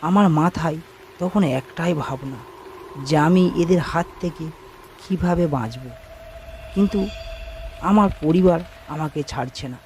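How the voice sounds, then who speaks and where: Higher-voiced man narrating a ghost story. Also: unhurried, long pauses, a little mellow, a little hiss or hum. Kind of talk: scripted first-person ghost story narration. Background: background music.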